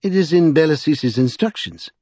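A man's voice: on the high side, animated, moderate pace, mellow, slightly rough, no echo, highs cut off, voice pushed up. A man voicing a bookish, thoughtful magician who lectures precisely in an audiobook. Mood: earnest, pedantic. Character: pedantic, bookish, thoughtful male scholar magician.

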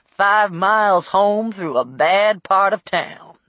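Woman acting out a portly old cabbie, folksy, garrulous, rough grammar, swooping pitch, storybook character. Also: lively intonation, slow pace, fairly bright tone, no room sound. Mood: chatty, nosy. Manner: colloquial, ungrammatical, garrulous. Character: portly old male cab driver, chatty, folksy.

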